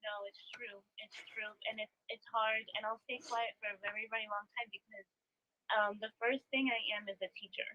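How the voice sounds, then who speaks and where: A woman's voice: a little high, steady tone, a little fast, dull audio, slight background noise. A woman speaking as a spiritual teacher, explaining earnestly and reflectively in new-age language during a live coaching talk. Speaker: spiritual teacher, astrology adviser.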